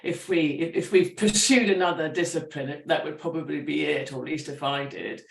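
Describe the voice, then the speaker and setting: A woman, a writer and teacher, talking through her ideas with animated thoughtfulness and many restarts at a public talk. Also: sits low, a little fast, creaky. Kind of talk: conversational storytelling. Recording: roomy echo.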